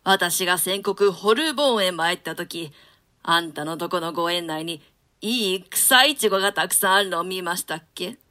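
A woman voicing a scheming male duke in a play reading, courtly and falsely friendly, with a bright voice. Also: lowish voice, moderate pace, pitched below the performer's own voice. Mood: genial. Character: scheming male duke, outwardly genial nobleman.

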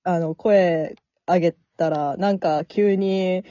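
A woman's voice: on the low side, fairly even intonation, slow pace, mellow, no room sound. A woman, an amateur performer, chatting casually in a self-deprecating way. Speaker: amateur radio drama performer. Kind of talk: casual chat. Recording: dull, dry lo-fi audio.

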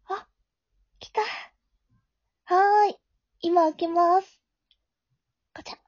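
A woman playing a flustered, caring high school girl who narrates her own story in an amateur audio drama, in a soft, girlish, expressive way. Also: takes it slowly, no room sound. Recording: dull, lo-fi home recording.

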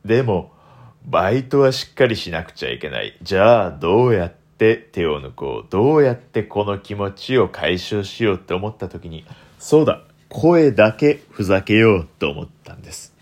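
A young man hosting a solo radio talk in a deliberately put-on deep, velvety voice, playful and self-mocking. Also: very animated, a little mellow.

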